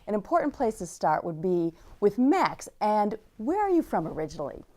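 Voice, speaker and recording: A woman with a mellow, warm tone hosts a television interview. She moves her guests along with short, friendly prompts. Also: medium pitch, big pitch swings, normal speed. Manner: friendly prompting, brief backchannels.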